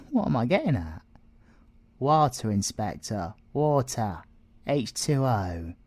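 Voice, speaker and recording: A British man playing a cocky, flirtatious male siren in an ASMR audio roleplay, breathy and teasing, often slipping into whispers. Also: medium pitch, sing-song, takes it slowly, a little mellow, dry recording. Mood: coaxing, cheeky. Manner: teasing, theatrical, drops into whispers.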